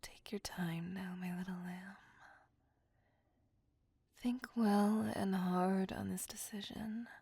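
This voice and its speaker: A woman's voice: mid-range voice, fairly even intonation, dark tone, slightly rough, dry recording, exaggerated voice. A woman playing a seductive, possessive vampire in an intimate ASMR roleplay, whispering breathily with formal, courtly tenderness. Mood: doting, soothing. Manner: slow, intimate, formal, coaxing endearments.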